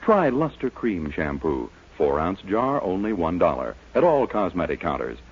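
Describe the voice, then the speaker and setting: An American man works as a scripted 1940s radio announcer. His delivery is polished and deliberate, with very animated intonation and a somewhat rough-edged tone. The sound is a dull, lo-fi vintage broadcast. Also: low voice.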